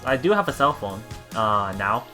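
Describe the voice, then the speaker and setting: Halting let's play host, soft game music. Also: mid-range voice, sing-song. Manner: halting, stumbles and repeats words. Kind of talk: reading game text aloud with casual commentary.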